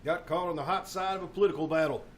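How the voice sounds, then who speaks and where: A man with an American accent playing a brash, hammy would-be detective in a live old-time radio comedy, pitching himself with pushy, theatrical patter. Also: steady tone, rapid-fire, natural voice. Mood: earnest pitching. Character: brash, fast-talking, hammy male would-be detective sidekick. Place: small theater stage, live performance.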